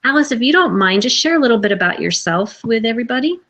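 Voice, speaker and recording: A woman's voice: a little high, expressive, fast, a bit bright. A woman hosting a live video chat, telling her own story earnestly with fillers and restarts. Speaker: host. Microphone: video call.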